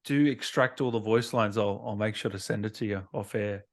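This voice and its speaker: A man's voice: on the low side, normal speed, a crowd in the background. A man hosting a casual gaming interview, speaking in an informal, matey way. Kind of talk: casual chat.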